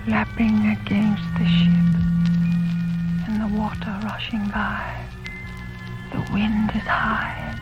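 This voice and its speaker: An actress performs a woman deep in a trance in an old radio play. She speaks very slowly and haltingly, with a breathy voice that trails toward a whisper. The vintage recording is dull, with loud music underneath. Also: lightly acted. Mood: entranced, hushed. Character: entranced woman psychically reporting what she hears. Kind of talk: 1930s radio drama acting.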